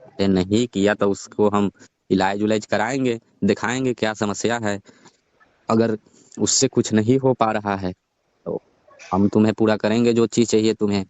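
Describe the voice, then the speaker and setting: A middle-aged father-in-law, played by a man in a phone-call drama. His voice is low and very dry, with no room around it. He coaxes and reassures, anxious over family honour. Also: steady tone, normal speed, a little mellow, no room sound, lightly acted. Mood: reassuring, coaxing. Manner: coaxing, reassuring. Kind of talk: staged phone-call drama in colloquial speech. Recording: dull, lo-fi dry call audio.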